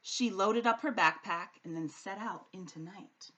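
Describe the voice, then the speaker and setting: A woman reading a rhyming children's story aloud like a teacher, with an expressive, sing-song rhythm. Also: mid-range voice, measured pace, highs cut off. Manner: animated, sing-song rhythm following the rhyme.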